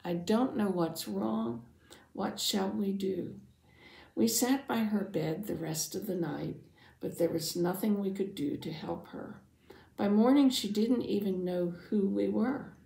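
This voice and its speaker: A woman voicing a devout, anxious father who earnestly tells his Bible story, dramatically, with hushed phrase endings. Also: mid-range voice, a little slow, creaky. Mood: anxious, urgent. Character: devout anxious father, synagogue leader, telling his testimony. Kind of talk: first-person Bible storytelling for families. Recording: roomy, echoing sound.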